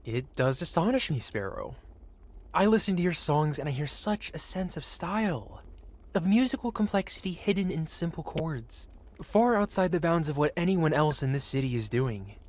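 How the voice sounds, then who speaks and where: A man's voice: a little high, expressive, normal speed, a little mellow. Man playing a suave, courtly dragon patron. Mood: passionate, fascinated. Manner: formal, flowery, courteous speech addressed to one listener. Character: possessive, wealthy dragon merchant patron, male, suave and courtly. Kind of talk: ASMR roleplay, intimate romantic fantasy audio drama.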